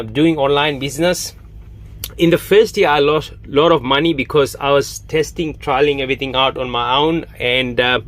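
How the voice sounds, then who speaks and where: A man, a Hindi speaker, telling his story to camera in a halting way with many fillers. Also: a little high, fairly bright tone. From Fiji. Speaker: online business promoter. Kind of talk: casual monologue to camera.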